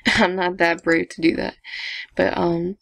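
A young woman chatting casually to viewers while she builds a game avatar, talking to herself with giggly asides in a low evening voice. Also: level tone, slow pace, vocal fry, a bit bright, dry recording. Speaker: gaming vlogger. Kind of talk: casual screen-recording commentary.

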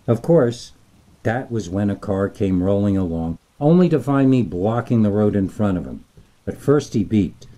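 A man reading a scripted scary story as narrator, in a dramatic, deliberate storytelling manner. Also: low voice, warm tone.

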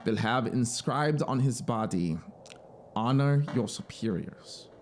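A man voicing a zealous, self-satisfied young penal colony officer in a storybook reading, with an emphatic, deliberate, proudly explanatory manner. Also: mid-range voice, animated, over music. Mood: matter-of-fact, severe.

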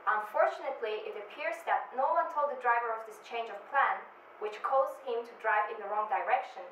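A woman's voice: a little high, a little fast, fairly bright tone. A young woman giving a scripted correspondent's news report, recorded in a room from some distance. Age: young adult. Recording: roomy, distant mic, faint noise.

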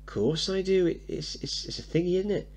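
Man acting a cheeky little boy, voice pitched up. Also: moderate pace, gravelly, a little mellow. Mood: cheeky, boastful. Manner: slangy, blunt, eager. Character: scruffy seven-year-old working-class boy, cheeky, boastful, suspicious.

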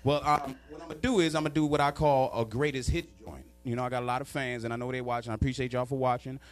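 A man in his thirties from New York doing a stand-up routine into a handheld mic in a small studio, loud and animated, shouting his punchlines. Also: medium pitch, quick pace, soft background music. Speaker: stand-up comedian. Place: small studio with sponsor backdrop. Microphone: handheld microphone.

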